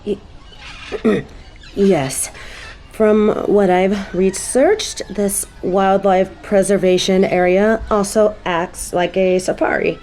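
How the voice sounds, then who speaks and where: Woman doing a prim flustered angel lil sis, mellow voice, takes her time, hesitant, audio roleplay. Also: medium pitch, fairly even intonation, slow pace, a little hiss or hum, lightly acted. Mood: shy, reflective. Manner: hesitant, prim, easily embarrassed. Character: prim, uptight angel little sister, easily flustered. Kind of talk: audio roleplay drama acting.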